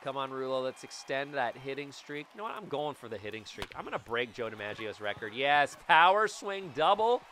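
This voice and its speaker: A man in his thirties giving jokey, excitable commentary over a baseball video game, recorded on a boom mic in a small room at home. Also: brisk, hardly any pauses, a little hiss or hum. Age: 30s. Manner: excitable, jokey, bursts into loud yells. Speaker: gaming YouTuber. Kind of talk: gameplay commentary. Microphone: studio mic on boom, webcam framing.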